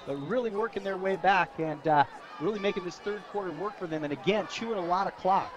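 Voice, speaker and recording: A man with an American accent and a high-pitched voice adds color commentary to a live football broadcast. He talks informally and conversationally, analyzing the game between plays. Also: quick pace, dull audio, a crowd in the background, background noise.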